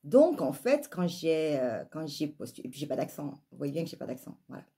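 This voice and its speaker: Husky, mellow woman with southern French accent. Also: low-pitched, very animated, a little fast. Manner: emphatic, rhetorical questions and repetition. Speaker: life coach and spiritual vlogger. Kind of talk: opinionated spoken monologue to viewers.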